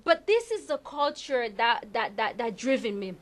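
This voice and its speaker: A woman's voice: a little high, big pitch swings, moderate pace. A middle-aged woman with a Haitian accent, a councilmember being interviewed, talking with enthusiasm and often repeating words as she searches for them. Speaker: councilmember guest. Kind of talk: interview conversation.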